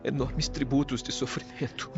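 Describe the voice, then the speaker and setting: Guy acting kindly earnest brazilian spirit mentor, radio drama, measured, slightly muffled w music under. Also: medium pitch, fairly even intonation, gravelly, close to an everyday voice. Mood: grave.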